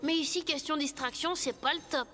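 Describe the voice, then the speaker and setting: A woman's voice: sits high, fairly quick, sharp tone. A woman voicing a cheeky, easygoing young boy in a children's cartoon, talking in a slangy, chummy way.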